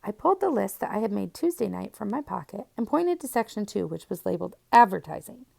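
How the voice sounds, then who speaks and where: A woman reading a children's storybook aloud in an expressive first-person narration. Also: mid-range voice, fast, creaky. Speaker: audiobook reader.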